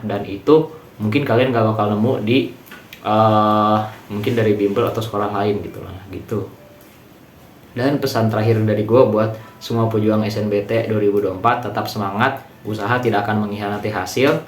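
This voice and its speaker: A young man, giving a student testimonial in a colloquial, explanatory way. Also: fairly low pitch. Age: late teens. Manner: colloquial, explanatory, many tag words. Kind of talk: casual informal testimonial. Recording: roomy echo, faint background noise.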